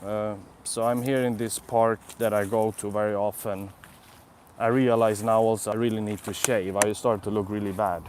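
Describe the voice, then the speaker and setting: A man's voice: fairly low pitch, level tone, moderate pace, a little mellow, slight background noise. A young Swedish man with a Swedish accent, chatting casually on a live stream in a city park, musing and answering viewers' comments. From Sweden. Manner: rambling, reflective, answers chat comments. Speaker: vlogger.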